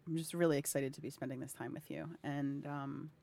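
A woman's voice: deep voice, steady tone, a little fast, gravelly, warm tone, no room sound. Woman, thirties, interviewer, effusive, emotionally open, heartfelt conversation. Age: 30s. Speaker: interviewer and health advocate.

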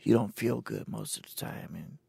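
A man in his sixties, talking plainly and haltingly about his own experience in a recorded conversation. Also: fairly low pitch, dark tone, no room sound. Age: 60s. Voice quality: gravelly, husky. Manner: plain, halting, unhurried storytelling. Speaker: interviewee. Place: indoors at a cultural center.

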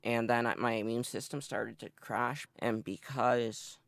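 Gravelly young man telling his story. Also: medium pitch, fairly even intonation, unhurried, a bit bright, no room sound. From northeastern Massachusetts. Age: 20s. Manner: candid, matter-of-fact storytelling. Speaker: interviewee. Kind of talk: oral history interview.

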